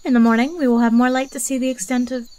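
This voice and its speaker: A woman playing a devout, calm and reassuring wife of a druid in a fantasy audio drama. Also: high-pitched, quick pace, close to an everyday voice. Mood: soothing.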